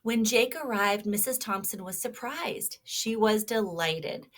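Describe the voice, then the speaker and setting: A woman's voice: mid-range voice, fairly bright tone. A woman reading a children's story aloud expressively, stopping to prompt her young listeners with questions. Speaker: storybook reader.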